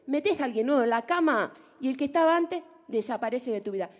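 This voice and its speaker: A woman's voice: sits high, expressive. A woman putting on a gossipy Rioplatense busybody. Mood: teasing. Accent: Rioplatense Spanish put on. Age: 40s. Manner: chatty, teasing, drawn-out vowels. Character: gossipy Argentine woman, nosy and chatty. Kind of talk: comic monologue impression.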